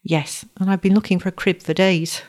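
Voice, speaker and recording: A woman's voice: on the low side, fairly even intonation, brisk, darkish tone, close to an everyday voice. Woman reading as a storybook young man, working-class, protective, terse, firm, tender sweetheart.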